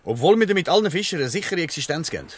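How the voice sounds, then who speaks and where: A man's voice: a little high, big pitch swings, very fast, slight background noise. Man acting calculating Swiss German company boss. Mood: persuasive, condescending. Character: smooth-talking, calculating male fishing company boss. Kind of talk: dialect radio play acting.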